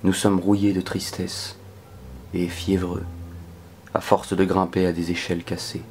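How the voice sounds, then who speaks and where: A man performing a dramatic spoken-word poetry reading in a solemn, measured manner that can sink toward a whisper. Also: low-pitched, little pitch movement, darkish tone, a little hiss or hum. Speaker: poetry reader.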